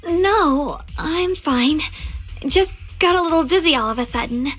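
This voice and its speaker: A brave, dutiful young heroine with a high, youthful voice. She speaks earnestly in anime game style, with music underneath. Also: expressive, a little slow, low-quality recording. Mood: reassuring, determined.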